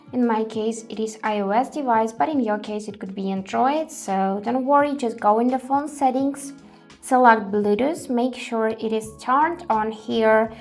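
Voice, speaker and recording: Woman doing a how-to, kinda high voice, reassuring, light music behind. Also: darkish tone. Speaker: tutorial presenter. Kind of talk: step-by-step product tutorial.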